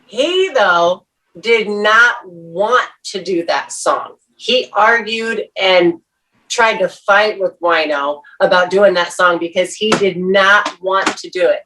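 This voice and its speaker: A woman's voice: medium pitch, slow pace, pushed and bright, recorded from a distance, low-quality recording. A woman, the guest's wife, chatting casually and telling stories about her husband. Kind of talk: casual chat.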